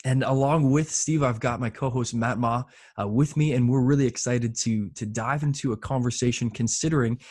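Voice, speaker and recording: A man's voice: medium pitch, fairly even intonation, moderate pace, darkish tone. A man hosting a faith podcast, speaking in an upbeat, earnest way as he introduces the show and his guest. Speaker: podcast host.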